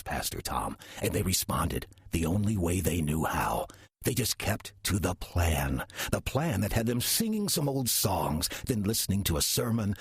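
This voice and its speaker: An actor playing a sly, taunting demon posing as a church caretaker, smug and sardonic in a horror audio drama monologue. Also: male voice, on the low side, husky, dry recording, studio quality, full character voice. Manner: smug, sardonic, deliberate storytelling with drawn-out emphasis. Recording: loud music under the voice.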